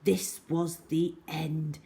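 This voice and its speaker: A woman narrating a children's story in an expressive, scripted read-aloud style. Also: low-pitched, drawn-out words, creaky.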